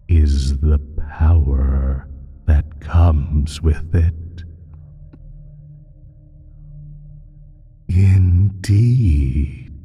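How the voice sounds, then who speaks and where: A man playing an ancient, evil primordial creature in an audio roleplay, smug and menacing, speaking slowly in a breathy, husky voice that slips into whispers. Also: rumbling low, mellow, a little hiss or hum, heavily acted. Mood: smug, commanding. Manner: slow, deliberate, menacing, drawn-out phrasing. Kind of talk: dramatic audio roleplay monologue.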